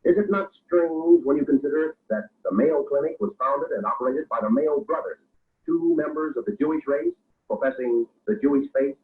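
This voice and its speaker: A man's voice: on the high side, normal speed, background noise, lightly acted. A man performs a grave radio-drama narrator from the 1940s, delivering an accusing exposé with solemn, theatrical irony. The recording is tinny and narrow, like old radio. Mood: ironic, solemn.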